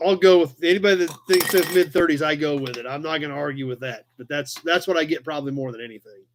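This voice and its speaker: American male livestream host, forties, slightly high voice, easygoing rambling chat delivery. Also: quick pace, background noise. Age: 40s. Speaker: live chat show host. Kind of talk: casual rambling livestream chat, reading and answering viewer comments.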